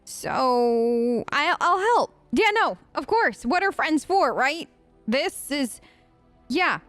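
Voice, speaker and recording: A woman's voice: high voice, animated, takes it slowly, a bit bright, no echo, faint music behind. A woman doing casual let's play role-play, answering game characters in an informal, hesitant, playful way.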